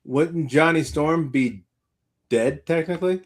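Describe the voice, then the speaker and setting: A man's voice: a little high, a little slow. A man co-hosting a podcast in a casual, rambling chat, circling back on his own points. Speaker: podcast co-host.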